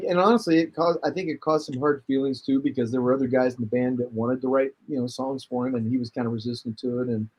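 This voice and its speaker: A husky-voiced man talks through a long, rambling story in casual conversation. He restarts his sentences often and gets animated as he goes. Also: medium pitch, poor audio. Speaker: guest musician. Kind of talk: casual chat.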